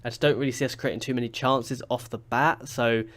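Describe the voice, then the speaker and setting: A man's voice: mid-range voice, steady tone, a little fast, fairly bright tone. Stuffy-nosed man chatting over gameplay. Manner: chatty, rambling with fillers and restarts. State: stuffy from a cold. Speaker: gaming YouTuber. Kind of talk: casual let's-play commentary.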